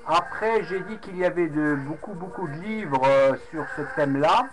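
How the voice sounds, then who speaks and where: A man whose first language is Arabic recounts a religious debate into his webcam, pausing often with fillers and restarting his sentences. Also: fairly high pitch. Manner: hesitant, many fillers and restarts. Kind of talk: unscripted webcam monologue. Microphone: webcam microphone. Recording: noisy home webcam recording.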